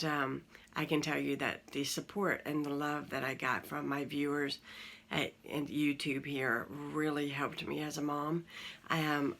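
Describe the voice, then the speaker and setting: A woman in her forties, hosting her own channel, talking casually to viewers from home in a croaky morning voice, rambling with many pauses and fillers. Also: low voice. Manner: warm, rambling, many ums. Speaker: channel host. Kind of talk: casual talk to camera.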